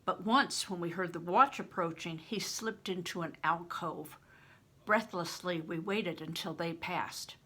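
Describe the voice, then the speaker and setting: An older woman with a breathy voice, reading a novel aloud and giving the characters voices of their own. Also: fairly low pitch, unhurried, fairly bright tone. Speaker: reader.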